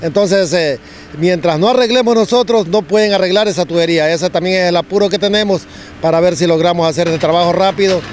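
A middle-aged man with an Ecuadorian accent, a public works director explaining repairs in an outdoor interview, halting with many fillers and restarts. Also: sits high, slight background noise. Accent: Ecuadorian Spanish. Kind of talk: on-site news interview. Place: outdoors at a street excavation site. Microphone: handheld reporter mics held close.

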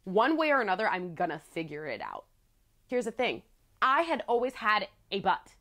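A young woman in her twenties with a low voice, telling her story candidly to the camera in a casual vlog, chatty and playful with frequent laughs. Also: wildly swooping pitch, normal speed, bright, forceful tone. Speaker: vlogger. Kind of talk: casual talking-head storytelling. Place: small living room with plants, indoors.

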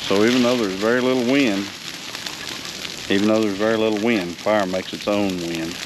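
Low-voiced older farmer by a crackling fire. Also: male voice, fairly even intonation. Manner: unhurried, plainspoken, with frequent "uh" pauses. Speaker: farmer vlogger. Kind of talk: casual narration while working outdoors. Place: open field outdoors beside a grass fire. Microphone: handheld or body-worn action camera. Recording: noisy outdoor recording with crackling fire.

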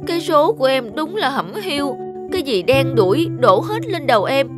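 A woman voicing a lazy, self-pitying young peasant man in an animated folk tale, whining and complaining. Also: high voice, normal speed. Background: background music.

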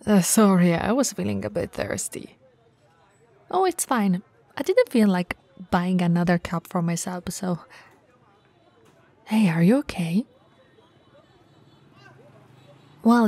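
A woman playing a very tall, friendly, teasing bento shop owner in an ASMR roleplay, speaking softly and breathily, sometimes dropping into a whisper. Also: on the low side, lively intonation, unhurried, pauses often. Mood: friendly, inviting.

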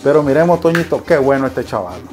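A man with a Nicaraguan accent calling bull riding, with fast play-by-play that rises to shouts. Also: mid-range voice, animated. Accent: Nicaraguan Spanish. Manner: rapid-fire calls rising to shouts. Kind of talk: excited live sports commentary.

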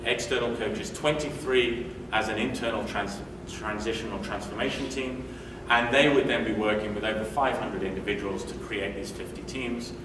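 A man's voice: on the low side, moderate pace. A British man from the UK in his forties giving a conference talk in a hotel meeting room, conversational, with frequent fillers and restarts. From United Kingdom. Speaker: conference speaker. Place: hotel meeting room, indoors, medium size. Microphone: room mic from a distance. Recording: echoey room, distant mic, noisy.

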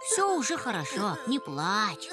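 Gentle young hedgehog, innocent, unhurried, very expressive pitch, cartoon with music underneath. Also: female voice. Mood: comforting. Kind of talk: animated cartoon acting. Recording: music behind the voice.

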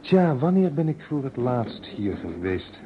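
An actor playing a jovial, wry globetrotting journalist in a vintage Dutch radio play, chatting away. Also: male voice, medium pitch, big pitch swings, measured pace. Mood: wry, relaxed. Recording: dull, noisy old radio recording.

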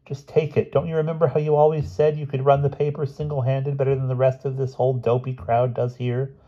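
A man's voice: medium pitch, little pitch movement, fairly quick, dull audio, voice pushed up, lightly acted. A man voicing a matter-of-fact, argumentative young boy with a casual, coaxing manner, in a storybook reading.

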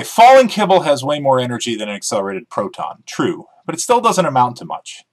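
A man giving a dramatic reading of a book as its author, with scripted narration and dialogue. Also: medium pitch.

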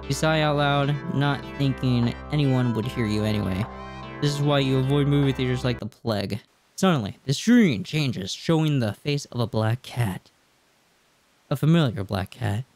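A man's voice: mid-range voice, normal speed, a little mellow. Young man reading game text over music. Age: 20s. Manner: casual, reactive asides. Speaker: gaming YouTuber. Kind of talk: let's play, reading game text aloud with reactions. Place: small indoor room at home. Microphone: desk microphone close up.

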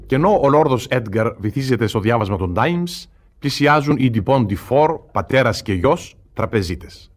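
A man's voice: medium pitch, animated. A man reading scripted narration for a radio play. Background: music.

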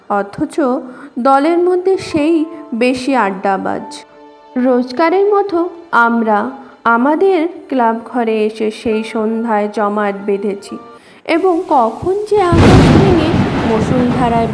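A woman narrating a scripted story in a measured, dramatic reading manner. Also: fairly high pitch, a little mellow. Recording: music under the voice. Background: background music.

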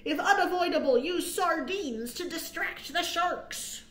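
A middle-aged woman reading a picture book aloud to young children with expressive, dramatic emphasis and chatty asides, as a storytime host. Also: very high voice, a little slow. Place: small indoor room. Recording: roomy, echoing indoor sound.

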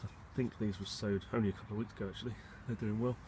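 A man with a fairly low voice talks casually through a garden tour. He is low-key and a little hesitant, thinking aloud. It is recorded outdoors on a handheld device, with clear noise behind him. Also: fairly even intonation, rapid-fire, dark tone. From England. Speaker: allotment gardener and vlogger. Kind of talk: casual rambling video tour. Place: outdoors on an allotment and in a polytunnel. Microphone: handheld phone or camera behind the lens. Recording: noisy handheld outdoor recording, wind and background rumble.

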